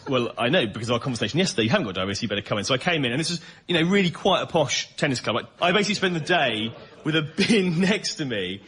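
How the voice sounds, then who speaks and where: A man's voice: fairly high pitch, background noise. An English man telling comic anecdotes in a chatty, self-deprecating way as a panel guest.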